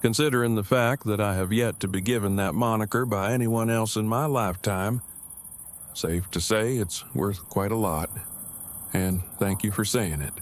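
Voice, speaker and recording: A man's voice: fairly low pitch, normal speed, natural voice. A man playing an awkward, earnest survivor in an audio drama, speaking in stiffly formal, long-winded, precise phrases. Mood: earnest, humble. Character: awkward, verbose, earnest male survivor and loyal friend. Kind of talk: fan audio drama acting.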